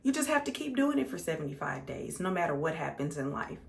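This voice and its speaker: Lower-pitched American woman chatting casually. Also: sing-song, fast, creaky. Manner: chatty, conversational, emphatic repetition. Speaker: makeup vlogger. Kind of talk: casual chat to viewers while doing makeup.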